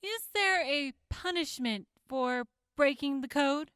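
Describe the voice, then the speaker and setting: A woman's voice: high-pitched, slow, fairly bright tone, no echo, studio quality. An actress playing a composed woman prosecutor who questions formally and pointedly in an audio drama courtroom. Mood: cool, pointed.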